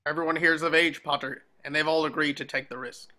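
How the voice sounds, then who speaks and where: A man's voice: on the high side, fairly even intonation, a little fast, bright, forceful tone. Man acting out a piercing, impatient old wizard commander. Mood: gruff, stern. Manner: barking, commanding, curt. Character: gruff, impatient old male wizard war veteran leader. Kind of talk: table read acting. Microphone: video call.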